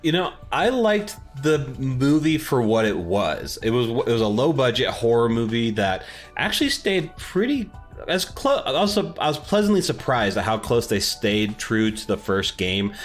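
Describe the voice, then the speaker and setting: Man, slightly low voice, podcast host, casual chat, self-deprecating, echoey home office, music underneath. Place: home office without soundproofing. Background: underlying background music.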